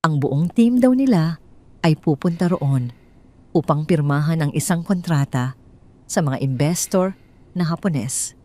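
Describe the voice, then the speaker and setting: A Filipino woman narrates a scripted dramatic story. Her voice sits low for a woman. She delivers each phrase in a measured, theatrical way, as a storyteller would. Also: expressive, dark tone.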